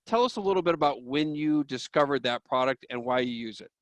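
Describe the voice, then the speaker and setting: Male interview host, medium pitch, dry recording, asking structured questions. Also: lively intonation, fast, no room sound. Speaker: interviewer, trade publication host.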